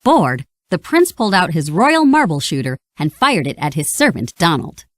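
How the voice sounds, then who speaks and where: Casting: female storybook narrator, expressive and dramatic, very lively pitch, dry close sound. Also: normal speed, no echo. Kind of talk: scripted children's read-along narration.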